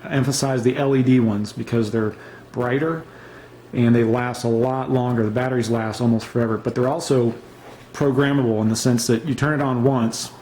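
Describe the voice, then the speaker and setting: A man's voice: medium pitch, steady tone, moderate pace, dark tone, a little hiss or hum, low-quality recording. A man casually showing and reviewing his emergency gear, unscripted, with many fillers and restarts. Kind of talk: casual show-and-tell review.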